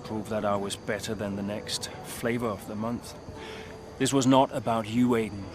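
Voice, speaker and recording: An actor playing a weary, dry-witted middle-aged racing driver in a naturalistic game cutscene. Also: male voice, on the low side, little pitch movement, normal speed, noisy background, natural voice. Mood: defensive.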